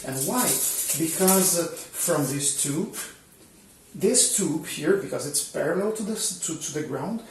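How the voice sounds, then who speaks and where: A man's voice: a little high, expressive, a little slow, slight background noise. A man whose first language is Portuguese, hosting his channel and explaining bike frame measurement in a casual, hesitant way, with many fillers and restarts. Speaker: channel host. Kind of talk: casual instructional talk. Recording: roomy echo, mic at a distance.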